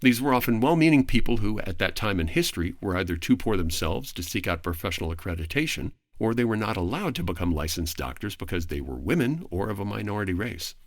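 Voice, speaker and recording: A man speaking as a podcast guest, giving a prepared, articulate explanation in formal, measured phrasing. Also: on the low side, expressive, no echo, clean studio sound.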